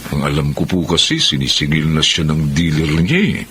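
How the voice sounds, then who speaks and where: An actor playing a calculating moneylender and drug pusher in a Filipino melodrama, falsely polite and insinuating, with a Filipino accent. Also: male voice, very deep voice, lively intonation, moderate pace, a bit bright, a little hiss or hum. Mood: politely pressing. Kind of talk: Filipino radio-style melodrama acting.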